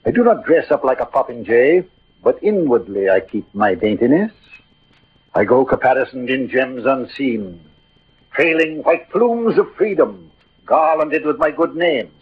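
A man's voice: mid-range voice, sing-song, measured pace, breathy edge, full character voice. An actor playing a proud, poetic, melancholy swordsman in a declamatory 1940s radio drama style. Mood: proud, grandiloquent. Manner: grandiloquent, declamatory, savouring verse. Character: Cyrano, swaggering poetic swordsman, proud, melancholy about his ugliness. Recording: muffled old radio recording, music behind.

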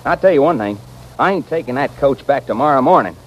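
An actor playing a salty, grumbling frontier stagecoach driver with folksy, colorful backwoods talk, in an old radio western. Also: male voice, mid-range voice, expressive, a little fast, close to an everyday voice. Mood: exasperated. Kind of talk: 1940s-50s radio western acting. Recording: dull, noisy old radio recording.

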